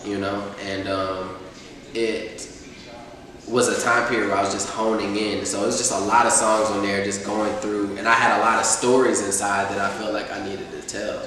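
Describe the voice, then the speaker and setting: A man's voice: on the low side, little pitch movement, moderate pace, sharp tone. A young man, a rapper, chatting casually in an interview, rambling with lots of fillers. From Atlanta, US. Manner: laid-back, rambling with many fillers. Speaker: rapper guest. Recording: echoey room, far from mic, faint noise.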